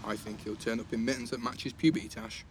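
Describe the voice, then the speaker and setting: A man's voice: mid-range voice, little pitch movement, fast talker, natural voice. Teasing, chatty teenage boy, acted by a man, over traffic noise. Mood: guarded, wry. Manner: casual, slangy, teasing. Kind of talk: naturalistic audio drama acting.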